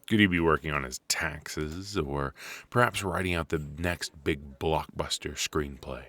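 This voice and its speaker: A man acting a friendly, chatty extrovert at a coffee shop mixer in an ASMR audio roleplay, conversational and reassuring, pausing for an unheard listener. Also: a bass voice, sharp tone, full character voice. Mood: quietly musing. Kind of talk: ASMR roleplay, casual one-sided conversation.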